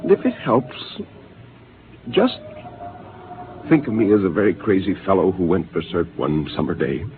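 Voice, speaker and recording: A weary, resigned rebel. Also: male voice, sits low, sing-song, moderate pace, pauses often. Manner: quiet, resigned pleading. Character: weary rebel male crewman, resigned and calm. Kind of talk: 1950s radio drama acting. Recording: tinny 1950s radio transcription with music.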